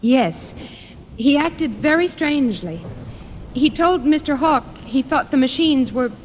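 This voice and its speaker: A woman's voice: high voice, animated, unhurried. A woman playing an earnest secretary giving emphatic, formal testimony in 1950s radio drama style. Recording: long reverb, faint background noise.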